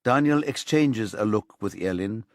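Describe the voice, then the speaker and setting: Male audiobook narrator, lowish pitch, measured storytelling, crime thriller, very dry recording. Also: lively intonation, dry recording.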